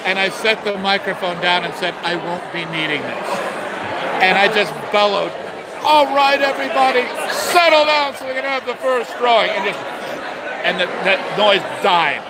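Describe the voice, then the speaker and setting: A man's voice: high-pitched, normal speed. A middle-aged man, a master distiller, telling stories expansively and with gestures at a tasting in a busy bar, filmed on a handheld phone. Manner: expansive, animated storyteller with gestures. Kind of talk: casual storytelling at a tasting. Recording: noisy crowded bar. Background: loud crowd chatter.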